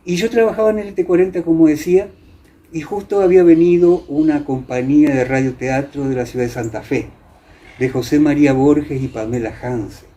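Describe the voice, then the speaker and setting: A man's voice: fairly high pitch, steady tone, brisk, dark tone. An Argentine man, middle-aged or older, explaining and reminiscing in an interview with hesitant phrasing and frequent tag questions. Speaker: interviewee, former radio actor.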